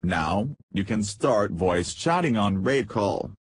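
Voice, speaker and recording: A man narrating a scripted software tutorial, reading out instructions step by step. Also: fairly low pitch, expressive, slow.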